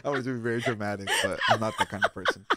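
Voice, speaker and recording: Brazilian-accented young man, low voice. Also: rapid-fire, a loud crowd. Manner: laughs easily, rambling with restarts and fillers. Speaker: guest organizer. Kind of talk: casual interview chat.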